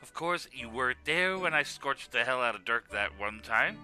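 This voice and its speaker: Man voicing a sharp, forceful hot-headed cartoon Viking. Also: mid-range voice, lively intonation, normal speed, soft background music. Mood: aggrieved. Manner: blunt, exasperated. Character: hot-tempered, sarcastic Viking man, exasperated by his rival. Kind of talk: comedic cartoon voice acting.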